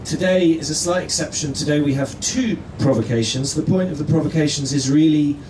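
A man hosting a live stage event, introducing speakers informally with halting, hesitant delivery. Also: on the high side, fairly even intonation. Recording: distant, echoey, noisy room with audience.

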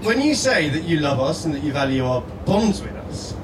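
Animated man speaking in an echoey room. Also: on the high side, normal speed. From Scotland. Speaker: guest speaker. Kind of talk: reading a prepared persuasive letter aloud on stage. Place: live stage event indoors. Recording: echoey room, distant mic, steady background noise.

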